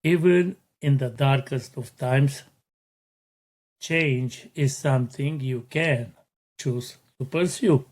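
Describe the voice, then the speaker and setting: Slow, earnest middle-aged man. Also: mid-range voice, a little mellow. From Romania. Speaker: podcast host. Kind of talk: motivational podcast monologue.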